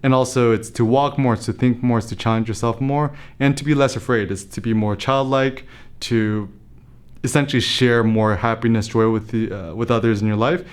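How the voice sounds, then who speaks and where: A man's voice: medium pitch, fairly even intonation, moderate pace, smooth. A young American man, a photography blogger, talking casually to camera and thinking aloud, with fillers and restarts. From United States. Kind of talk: casual motivational talk to camera.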